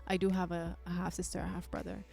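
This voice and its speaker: A woman's voice: lowish voice, monotone, quick pace, vocal fry, darkish tone, slightly rough, soft background music. A woman from Barbados, talking candidly and thoughtfully as a guest in a personal interview. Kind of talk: reflective personal conversation.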